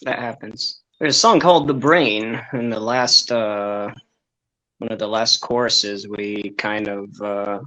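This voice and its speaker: A man from Israel, a band member guest, answering interview questions in a thoughtful, hesitant way with many pauses. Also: lowish voice, fairly even intonation, sharp tone. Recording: dull lo-fi sound.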